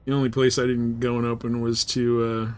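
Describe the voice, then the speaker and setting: A man talks through his game session as a streamer. His mid-pitched voice rambles along in loose, easygoing chat, with the odd bit of crude slang. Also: level tone, brisk, darkish tone, smooth. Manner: rambling, easygoing, mildly profane. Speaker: live streamer playing a space game. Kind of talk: casual gameplay chat.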